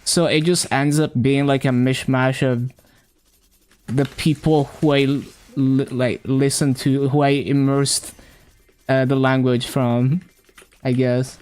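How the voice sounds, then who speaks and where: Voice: medium pitch, flat delivery, slow pace, darkish tone, clear, resonant tone. A streamer from Italy who learned English as a second language, chatting casually on a live gaming stream with a mixed, self-taught accent, rambling and restarting with lots of "like". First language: Italian.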